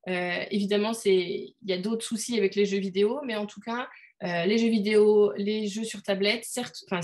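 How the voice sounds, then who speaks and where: A woman's voice: mid-range voice, darkish tone. A woman leading an online teaching workshop over a video call, talking casually with many fillers and restarts. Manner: hesitant, many fillers, conversational. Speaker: workshop leader.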